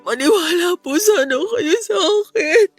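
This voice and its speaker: High-voiced, remorseful young Filipina daughter. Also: female voice, animated, unhurried, soft background music, full character voice. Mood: tearful pleading. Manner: pleading, tearful delivery. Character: distraught, remorseful young woman, a shamed daughter. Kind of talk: radio drama acting.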